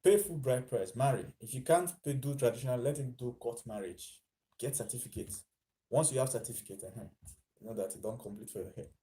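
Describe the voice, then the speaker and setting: Chatty Nigerian man with a mellow voice. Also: mid-range voice, a little fast. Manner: chatty, mixes in Pidgin, laughs at his own lines. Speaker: vlogger. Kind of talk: casual commentary vlog.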